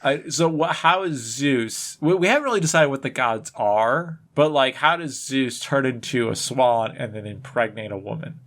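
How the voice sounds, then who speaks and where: Man in halting, jokey podcast chat. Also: mid-range voice, fairly bright tone. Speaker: podcast co-host. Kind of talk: casual comedic chat.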